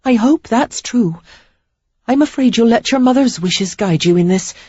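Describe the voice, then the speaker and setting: A woman's voice: fairly high pitch, animated, normal speed, a little mellow, husky, no room sound, dull audio, pitched above the performer's own voice. Woman voicing an indignant young woman. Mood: pleading, resolute. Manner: pleading, emphatic, near tears. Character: young woman, frustrated and resolute suitor.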